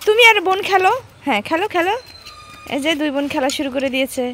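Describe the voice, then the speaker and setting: A woman's voice: high voice, animated, quick pace, very noisy. A young woman, a mother chatting casually with small children and narrating a family vlog, coaxing them with simple questions and short repeated phrases. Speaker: mother filming her young daughters.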